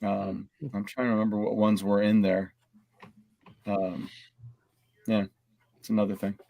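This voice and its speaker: A man's voice: fairly low pitch, flat delivery, measured pace, vocal fry, warm tone, no room sound. Man chatting casually, dry close sound. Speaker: podcast panelist. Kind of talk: casual group chat.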